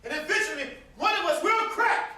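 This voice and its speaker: In a roomy, heavily echoing stage recording from far off, a young man plays a conscience-stricken brother. His voice is very high and bright, and he pleads earnestly against revenge in anguish. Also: animated, a little fast, heavily acted. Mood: anxious. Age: young adult. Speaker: student stage actor. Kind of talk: stage play acting. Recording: distant, very echoey, noisy stage recording.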